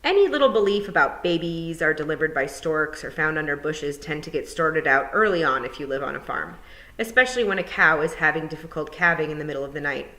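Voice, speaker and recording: A woman's voice: low voice, expressive, brisk, bright, forceful tone. A woman narrating a children's book expressively, as a teacher would at storytime.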